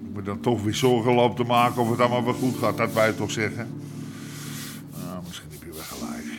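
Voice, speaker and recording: An actor in a radio drama playing a grumbling Amsterdam red-light boss, colloquial and full of hesitant second thoughts. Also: male voice, on the low side, a little fast, close to an everyday voice. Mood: musing, doubtful. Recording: clear ambient noise behind voice.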